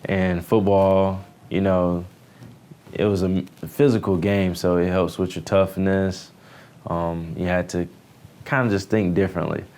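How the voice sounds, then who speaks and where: A young American man with a very deep voice and a flat, even delivery. He answers interview questions casually as an athlete, pausing on fillers as he finds his words. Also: clean tone. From Houston area, United States. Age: early twenties. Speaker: baseball pitcher, newly drafted player.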